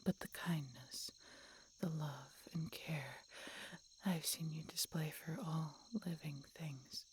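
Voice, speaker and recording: A woman voicing a tender, regretful, dying she-dragon in an audio roleplay, breathy and whispery, speaking haltingly with weak, labored breath. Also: deep voice, fairly even intonation, a little hiss or hum. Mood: regretful, resigned. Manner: slow, halting, labored speech with pauses and gasps. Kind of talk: audio roleplay, intimate dramatic monologue.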